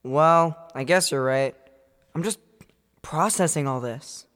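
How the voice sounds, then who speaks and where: A woman's voice: sits low, slow, fairly bright tone, long reverb tail. A wary, hesitant boy. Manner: hesitant, wry. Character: cautious thoughtful young boy.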